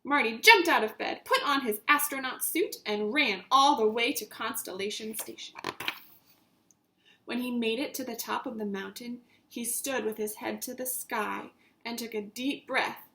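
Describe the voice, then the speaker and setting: A woman reading a children's story aloud in an animated, deliberate teacher's way, addressing her young listeners directly. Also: a little high, poor audio.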